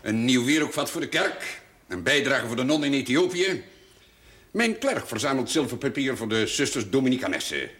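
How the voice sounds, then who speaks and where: A man's voice: mid-range voice, big pitch swings, normal speed, fairly bright tone. An older man playing a pompous, sardonic, shrewd village notary and moneylender in a television drama, speaking in formal, ironic phrases. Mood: dry, mocking. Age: older, over 50. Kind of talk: theatrical television drama dialogue.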